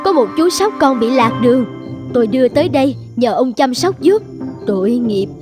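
A woman voicing a middle-aged, motherly rabbit in a cartoon, brisk, self-assured and caring. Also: high-pitched, animated, music in the background. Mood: concerned. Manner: brisk, sure of herself, caring.

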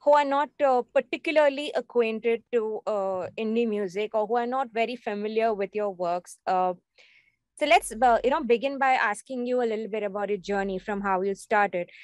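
A woman's voice: fairly high pitch, moderate pace, no echo. A young woman, interviewing a guest over a video call from a small room at home, hesitant with many fillers and restarts. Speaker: radio interviewer.

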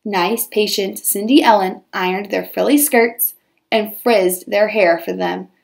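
A woman teacher reading a children's storybook aloud with expressive, dramatized storytelling. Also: medium pitch, a little slow, vocal fry.

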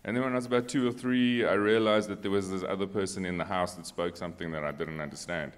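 Deep-voiced middle-aged South African man. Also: fairly even intonation, fast talker, smooth. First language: Afrikaans. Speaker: panelist. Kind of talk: casual personal storytelling on a panel. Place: on stage in a church hall. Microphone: handheld microphone.

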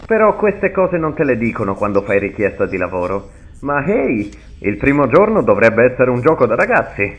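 A man acts out a nervous male night guard from a horror game, heard through a thin, tinny phone line. His pitch swings up and down a lot as he rambles. He hesitates often and tries to sound casually reassuring. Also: sing-song, moderate pace. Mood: nervously casual. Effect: telephone filter. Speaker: voice actor in a fan dub. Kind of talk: recorded phone message, game fan dub.

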